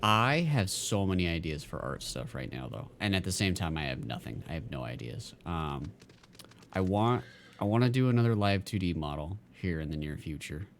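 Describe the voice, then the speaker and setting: Deep-voiced man rambling casually. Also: big pitch swings, moderate pace, a little hiss or hum. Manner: rambling, lots of fillers and restarts. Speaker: game streamer. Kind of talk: casual chat while gaming.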